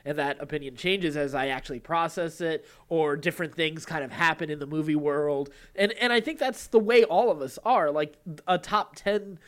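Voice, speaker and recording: A man's voice: on the high side, moderate pace, few pauses. A man co-hosting a podcast in casual conversation, talking in a wordy, rambling way as he thinks aloud. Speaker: podcast co-host.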